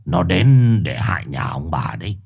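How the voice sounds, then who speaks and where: Man voicing a sly old sorcerer, gruff, low-pitched, storybook villain. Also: very animated, normal speed, muffled recording, full character voice. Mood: insistent.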